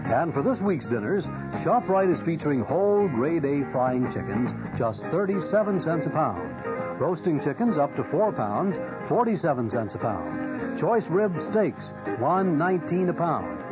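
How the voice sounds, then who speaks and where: Animated male radio ad announcer, muffled. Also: a little high, unhurried, music in the background. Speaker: commercial announcer. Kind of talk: 1970s radio advertising read. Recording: muffled old radio broadcast.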